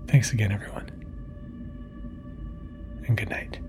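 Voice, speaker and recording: A man introducing a bedtime podcast episode in a hushed, unhurried manner. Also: lowish voice, huge pitch swings, over music.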